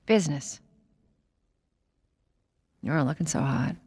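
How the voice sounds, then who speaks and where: An actress playing a tough, blunt smuggler with an American accent in a video game cutscene, curt and hard-nosed. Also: female voice, sits low, slow pace, a little mellow, slightly rough, full character voice. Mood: firm, demanding.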